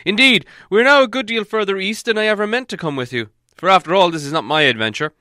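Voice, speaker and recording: A man's voice: high-pitched, quick pace, pushed and bright, no echo, studio quality, voice pushed up. A man voicing a wise, self-assured old wizard who speaks in a raised, emphatic way and stumbles over some restarts. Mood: earnest.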